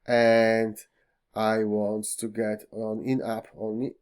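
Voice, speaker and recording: A man whose first language is Polish guides viewers through software at a slow, unhurried pace. His speech is full of fillers and slightly non-native phrasing. He speaks into a desktop mic at his computer. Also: on the low side, completely flat delivery, clean tone. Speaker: tech tutorial host. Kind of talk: screen-recorded software walkthrough.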